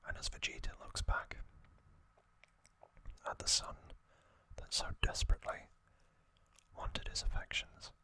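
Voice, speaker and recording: A man whispers an ASMR recap with no voiced tone at all. His delivery is very slow and deliberate, broken into short phrases with pauses. The whisper is breathy and crisp on top, staying even throughout. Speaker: ASMR host.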